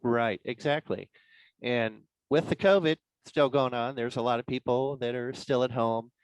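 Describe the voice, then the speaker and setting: A man giving an informal, explanatory presentation as a guest speaker on an online call, in a halting way. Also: medium pitch, clear, resonant tone, dry recording, rough recording. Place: online club meeting.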